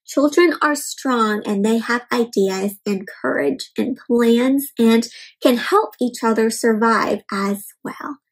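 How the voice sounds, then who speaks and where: A young woman reading a storybook aloud to children in an expressive, explanatory way. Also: fairly high pitch, slow, husky, rough recording. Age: young adult. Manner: expressive, explanatory, asks the listener questions. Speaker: host of a children's read-aloud show. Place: indoor room at home.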